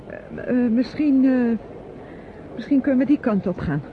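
A timid, evasive unmarried niece in a radio drama. Her voice is high, and she answers politely and haltingly. The old recording is narrow and tinny, with faint noise behind her. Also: lively intonation. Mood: hesitant, polite. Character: meek, hesitant, dutiful unmarried niece, quietly evasive. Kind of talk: radio play acting.

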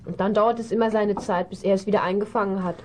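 A woman's voice: medium pitch, steady tone, quick pace, darkish tone, a little hiss or hum, lightly acted. A woman playing a practical, earnest girl who loves horses, in a natural conversational way for a children's radio play. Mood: chatty, relaxed. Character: Flora, horse-loving girl, practical, worried about her brother. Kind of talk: German children's radio play acting, 1970s.

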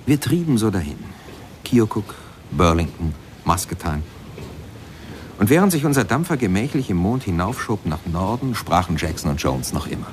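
An actor playing a reflective former steamboat pianist who tells his memories in measured, lyrical radio drama narration. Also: low-pitched, sing-song, a little hiss or hum. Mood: dreamy, reflective. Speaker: narrator and protagonist of a radio play.

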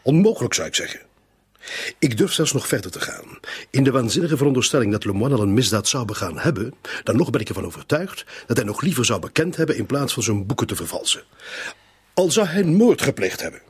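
An indignant, formal bank director in a radio play. His voice is very rough and husky. It rises and falls sharply as he stresses his certainty. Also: mid-range voice, very animated, fast talker. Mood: aghast. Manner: emphatic, formal.

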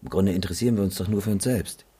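A man's voice: sits low, rapid-fire, warm tone, husky. An actor in a radio drama playing a world-weary, sceptical male war photographer with a dry, cynical manner. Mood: dry, dismissive.

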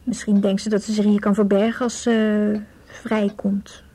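A woman's voice: mid-range voice, level tone, normal speed, warm tone, lightly acted. An actress playing a troubled young woman in a radio drama, wry and anxious, talking hesitantly. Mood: uneasy. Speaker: actor in a radio play. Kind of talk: naturalistic radio drama dialogue.

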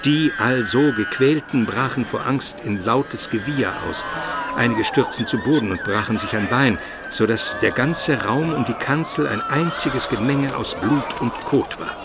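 A man playing a grave Byzantine minister who recounts his escape in a measured, solemn radio drama narration. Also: medium pitch, very noisy, lightly acted. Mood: grave, sorrowful.

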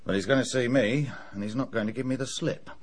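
An actor playing a blunt, businesslike police inspector in a radio drama. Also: male voice, medium pitch, quick pace, natural voice. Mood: determined. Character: brisk, dogged male police inspector.

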